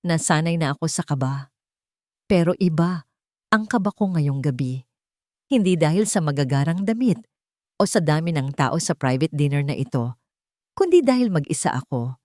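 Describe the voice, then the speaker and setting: A woman's voice: deep voice, darkish tone, no echo, studio quality. A woman narrating a first-person love story in an emotive, sentimental way.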